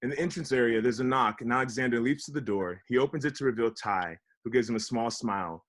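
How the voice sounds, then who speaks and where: A man's voice: medium pitch, quick pace, a bit bright. A man narrating scripted stage directions for a table read. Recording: online video call table read.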